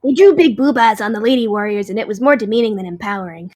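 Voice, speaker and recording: A woman's voice: fairly high pitch, quick pace, a little mellow, close to an everyday voice. A woman playing a cheerful, unbothered, nerdy dragon in an audio drama, chatty and matter-of-fact.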